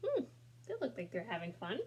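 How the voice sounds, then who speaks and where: A woman's voice: mid-range voice, fairly quick, lo-fi sound, noisy background. Woman reading a picture book aloud. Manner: gentle, talks to young listeners; expressive, voices the characters. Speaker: storybook reader. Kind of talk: children's read-aloud with asides.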